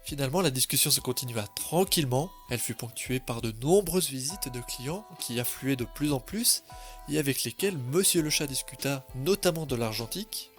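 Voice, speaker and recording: A man's voice: medium pitch, lively intonation, moderate pace, soft background music. A man narrating a scripted story in a composed, literary storytelling manner.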